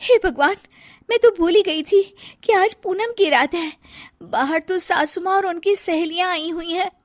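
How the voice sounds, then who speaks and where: A woman's voice: extremely high pitch, measured pace, no room sound, studio quality, voice pushed up. A woman voicing a young, dutiful but easily flustered bride who is secretly a serpent, in a cartoon story. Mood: panicked. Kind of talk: Hindi cartoon story dialogue.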